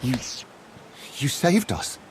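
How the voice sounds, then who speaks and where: An actor playing a principled, fearful civilian in a video game, husky, earnest and solemn. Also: male voice, slow, darkish tone, slight background noise, lightly acted. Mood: shaken, pleading. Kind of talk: scripted video game drama acting.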